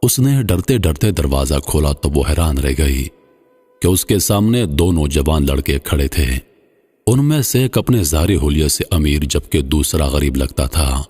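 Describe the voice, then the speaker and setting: A man narrating a scripted moral folk tale in formal literary style, with a measured, solemn delivery. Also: a bass voice, lively intonation, a little mellow, slightly rough. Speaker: storyteller, narrator. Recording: long hall-like reverb, slightly muffled voice.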